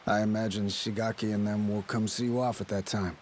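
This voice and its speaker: A man's voice: fairly low pitch, flat delivery, fairly quick, darkish tone, slight background noise, lightly acted. A man voicing an easygoing islander in a video game dub, casual and chatty. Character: easygoing male islander who gives boat lifts.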